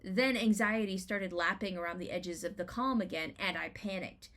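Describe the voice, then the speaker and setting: A woman writer reading her own personal essay as a dramatic reading, in an expressive, deliberate storytelling manner with emphatic rhetorical questions. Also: lowish voice.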